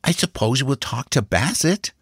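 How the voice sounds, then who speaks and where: Man acting a small boy, raised pitch, grave, polite, secretive, quietly intense, audiobook dialogue. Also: big pitch swings, moderate pace, breathy edge, no room sound, studio quality. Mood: serious.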